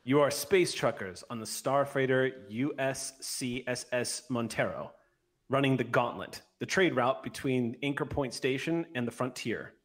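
A middle-aged man with an average-pitched voice narrates a tabletop roleplay game as its game master. He speaks close to the mic and now and then corrects himself as he reads. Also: a little slow. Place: indoor room, on a group video call. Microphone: microphone on an arm, close to mouth.

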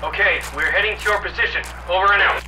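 A man playing a calm, steady soldier leading a patrol over military radio in an audio drama. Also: high voice, fast talker. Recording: distant, noisy radio transmission.

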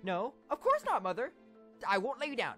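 A man's voice: very high voice, wildly swooping pitch, brisk, bright, forceful tone, faint music behind, heavily acted. A man voicing a nervous young male pony squire who schemes reluctantly, awkward and hesitant in a fan cartoon. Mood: dutiful.

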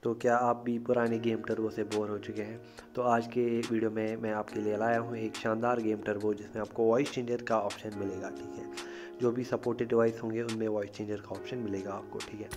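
भारतीय लहजे वाला आदमी, पीछे संगीत. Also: male voice, on the low side, flat delivery, fairly quick. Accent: Indian. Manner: informal, repeatedly checks in with a tag phrase. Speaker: YouTube tech tutorial host. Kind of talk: casual screen-recording tutorial voice-over. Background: music.

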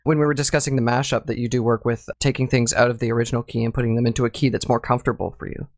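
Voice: mid-range voice, level tone, racing, no echo, studio quality. Rambling, laughing podcast host. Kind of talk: casual podcast chat.

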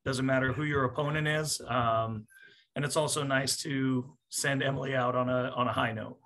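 A man in his forties, a coach explaining things in a measured way at a post-match press conference, speaking on a video call. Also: medium pitch, steady tone, a bit bright. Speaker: volleyball coach. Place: small indoor office, on a video call.

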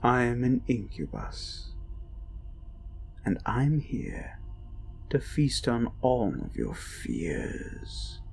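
A man playing an ancient, cruel incubus demon in a dark roleplay audio, mocking and gloating, with slow menace and breathy whispers. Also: medium pitch, huge pitch swings, slight background noise, exaggerated voice. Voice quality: creaky, breaking into breathy whispers. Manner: slow, menacing, taunting, drawn-out. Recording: ominous music behind the voice.

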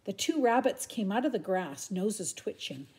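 An older woman reads a children's story aloud at home. Her delivery is expressive and animated, with a soft, mellow tone. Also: medium pitch, normal speed. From Canada. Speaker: storybook reader. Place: room at home.

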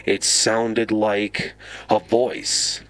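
Slow, deep-voiced male storybook narrator. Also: little pitch movement, fairly bright tone. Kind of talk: storybook narration in radio drama style. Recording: noisy ambient sound effects behind.

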